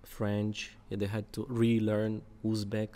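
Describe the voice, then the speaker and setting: A man's voice: lowish voice, completely flat delivery, slow, warm tone. A young man talking as a podcast guest in a studio, thoughtful, with many fillers and restarts. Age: young adult. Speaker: podcast guest, English teacher. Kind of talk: casual conversation. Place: dark studio. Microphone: boom mic in front.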